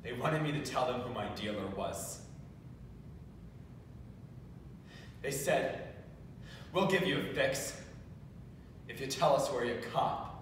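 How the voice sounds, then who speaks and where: A young man performing a stage monologue as a battered, defiant heroin addict, telling his story haltingly and dramatically in an echoey room. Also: mid-range voice, lightly acted. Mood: bitter, intense. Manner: halting, dramatic retelling with sudden outbursts. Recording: distant echoey room, faint noise.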